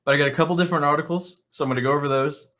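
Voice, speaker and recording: A man's voice: fairly high pitch, fairly even intonation, very fast, bright, forceful tone. A man hosting an online commentary show, reading articles aloud and reacting in a blunt, scornful, sarcastic and profane way.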